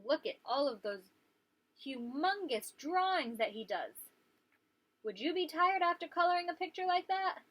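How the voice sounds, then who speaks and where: A woman's voice: high-pitched, sing-song, moderate pace, fairly bright tone. A woman hosting story time as a preschool teacher, reading aloud and putting questions to young listeners.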